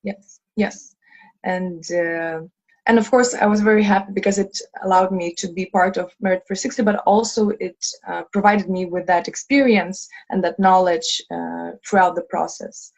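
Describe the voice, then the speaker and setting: A woman's voice: lowish voice, fairly even intonation, moderate pace, gravelly. Dull-sounding young woman on a video call. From Lithuania. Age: 20s. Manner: earnest, many fillers and restarts. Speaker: head of a fundraising team. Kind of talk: casual video call chat.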